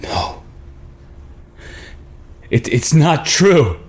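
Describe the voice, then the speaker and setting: Man playing a husky, desperate, frightened father. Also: on the high side, big pitch swings, exaggerated voice. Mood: anguished denial. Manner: halting, stammering, anguished. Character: desperate, frightened father searching for his lost daughter. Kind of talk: dramatic horror table read.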